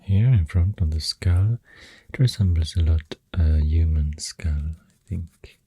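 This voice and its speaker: Super soft breathy asmr host w swedish accent, slow n deep, halting, close dry mic. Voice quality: breathy, half-whispered. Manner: hushed, hesitant, pausing mid-thought. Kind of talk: soft-spoken ASMR rambling. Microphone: close ASMR microphone. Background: pencil writing sounds.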